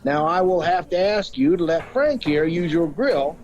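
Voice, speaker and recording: A man's voice: a little high, lively intonation, normal speed, a little mellow, lo-fi sound, a little hiss or hum, natural voice. A man playing a blustering, plain-spoken American restaurant owner with a folksy, bossy manner in an audio drama. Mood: hearty, eager. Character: gruff, folksy male steakhouse owner, bossy but big-hearted.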